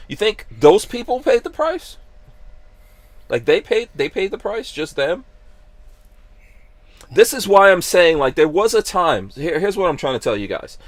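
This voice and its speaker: A man's voice: on the high side, no room sound. A man from Guyana hosting a podcast, talking emphatically in casual opinion chat and firing rhetorical questions. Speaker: podcast host.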